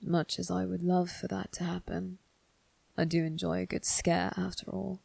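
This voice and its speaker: A woman's voice: on the low side, level tone, normal speed, creaky, a little mellow, breathy edge, no room sound, exaggerated voice. A woman voicing a sly, teasing demon servant with slangy, informal speech in an ASMR roleplay. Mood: sly, menacing.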